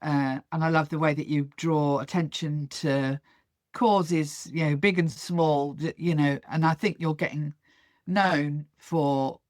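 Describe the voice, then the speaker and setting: A woman's voice: low voice, fairly even intonation, unhurried, a little mellow, no echo, clean studio sound. A woman hosting a podcast, asking questions in a chatty, hesitant way. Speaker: podcast host. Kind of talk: interview questions.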